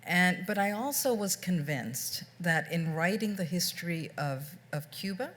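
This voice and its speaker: Deep-ish voiced woman on a book panel, thinks out loud, keeps rephrasing. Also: lively intonation, measured pace. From United States. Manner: reflective, circling back and restarting sentences. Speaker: author on a book festival panel. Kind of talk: panel discussion.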